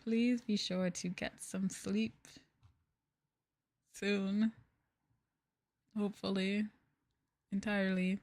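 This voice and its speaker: A soft-spoken woman streamer chatting casually on an ASMR livestream in an unhurried, hesitant way, close to the microphone. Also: mid-range voice, level tone. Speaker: VTuber streamer, host.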